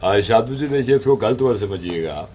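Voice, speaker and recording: A man's voice: lowish voice, animated, normal speed, darkish tone, slight background noise, lightly acted. A man playing a flustered, defensive busybody on a prank call, repeating himself as he pleads to be heard. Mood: hesitant. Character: pleading, evasive busybody explaining a neighbourhood brawl.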